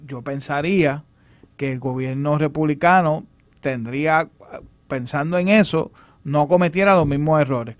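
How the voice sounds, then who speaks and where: A man with a Puerto Rican accent giving unscripted political commentary as a panelist, halting and measured as he argues. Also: on the high side, no echo. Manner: halting, hesitant, measured argument.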